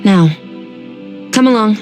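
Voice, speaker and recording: An actress playing an aloof, stern and powerful warrior in a video game, speaking in a cool, measured, matter-of-fact way. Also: female voice, mid-range voice, darkish tone, natural voice. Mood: cold, dismissive. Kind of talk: English game voice acting, dramatic exposition. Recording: faint game music behind the voice. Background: faint background music.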